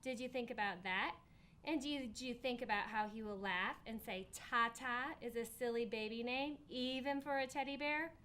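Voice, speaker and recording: A woman's voice: on the high side, moderate pace, bright, forceful tone. A woman voicing a teasing, doubtful older sister in a storybook, with pointed, taunting questions.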